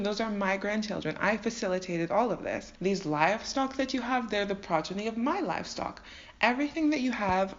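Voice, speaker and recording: A woman's voice: mid-range voice, lo-fi sound, natural voice. A woman voicing a blustering, self-righteous older father in colloquial comic storytelling dialogue. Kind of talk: comedic storytelling dialogue, modern slang.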